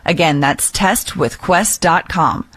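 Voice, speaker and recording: A woman's voice: fairly low pitch, slow pace, a bit bright, slightly rough, no echo. A woman reading scripted commercial narration as an advert voice-over.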